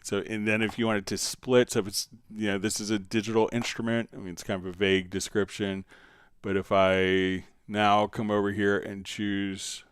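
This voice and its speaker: A man hosting a casual live software tutorial, explaining step by step in a conversational way as he answers viewers. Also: lowish voice, flat delivery, moderate pace, smooth, no echo. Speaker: livestream host and software instructor.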